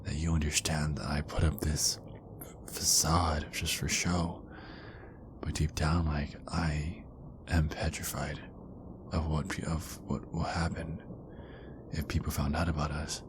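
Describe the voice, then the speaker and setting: A man playing a tender, insecure werewolf boyfriend in an intimate ASMR roleplay, speaking in a hushed, halting way that often slips into whispers. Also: extremely low pitch, flat delivery, noisy background. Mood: vulnerable, anxious.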